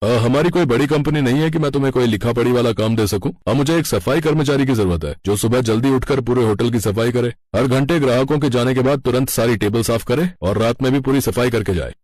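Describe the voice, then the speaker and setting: A man's voice: medium pitch, fast talker, hardly any pauses, darkish tone, no echo, lightly acted. A man voicing a blunt but fair-minded hotel boss in a scripted story. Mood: blunt, scornful. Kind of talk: scripted cartoon story dialogue.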